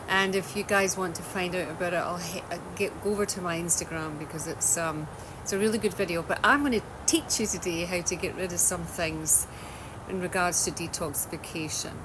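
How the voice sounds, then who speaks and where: A Scottish woman with a fairly low voice talks casually to her followers on a livestream. She is loose and chatty, often breaking off and restarting sentences, and clear noise runs under her voice throughout. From Scotland. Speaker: holistic wellness coach. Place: home in Australia.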